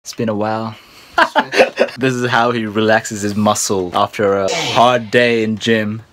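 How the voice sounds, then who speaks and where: A man's voice: on the low side, fairly even intonation, a little slow, fairly bright tone, slight background noise. A young man in his twenties hosting a casual vlog in a small bedroom, filming himself at arm's length and teasing his friends with frequent laughs. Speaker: vlogger host. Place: small home bedroom, indoors. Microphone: handheld camera at arm's length.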